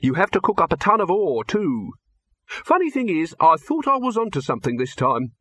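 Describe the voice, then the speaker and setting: A man voicing a fussy, wistful male alchemist who speaks in a high, wavering, doubtful tone. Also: huge pitch swings, normal speed, fairly bright tone, no echo, full character voice.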